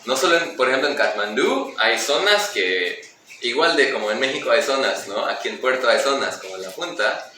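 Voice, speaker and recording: A young man from Nepal talks through his answers as an interview guest, circling back with restarts and fillers. His voice is bright and clear in tone. He is recorded off-mic in a very echoey space with a faint hiss behind him. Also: mid-range voice, expressive, a little fast, recorded from a distance. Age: young adult. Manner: explains at length with restarts and fillers. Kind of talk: casual interview.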